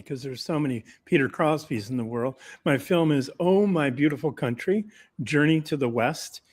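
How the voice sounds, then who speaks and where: A man who makes documentaries, talking earnestly on a video call panel and repeating phrases as he searches for words. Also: mid-range voice. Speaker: documentary filmmaker guest. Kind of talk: casual panel talk.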